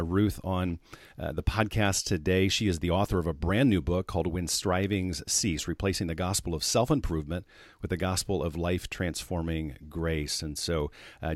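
A man hosting a podcast interview, speaking earnestly and hesitantly with many pauses. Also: low voice, darkish tone, no echo, clean studio sound. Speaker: podcast host.

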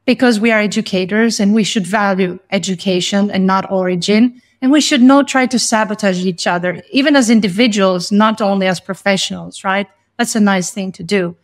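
A woman's voice: medium pitch, brisk, hardly any pauses. A woman, an English teacher, speaking as a guest in an interview, arguing her point passionately and with emphasis. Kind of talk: conversational interview.

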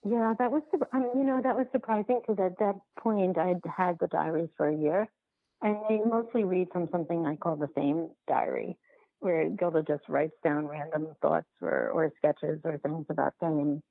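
A woman speaks over a narrow, phone-like line in a lowish voice with a gravelly fry. She talks casually as an interviewed filmmaker, circling back and rewording as she thinks out loud. The sound is close and dry. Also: moderate pace, no echo. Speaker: documentary filmmaker being interviewed.

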